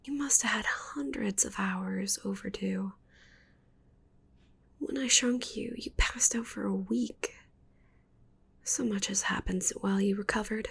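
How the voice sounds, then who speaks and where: Possessive witch for ASMR roleplay, woman performer: slow, breathy whisper with creaky edges, doting yet menacing. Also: mid-range voice, exaggerated voice. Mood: tender, smitten. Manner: slow, intimate, cooing menace. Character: possessive, needy young witch doting on shrunken captive.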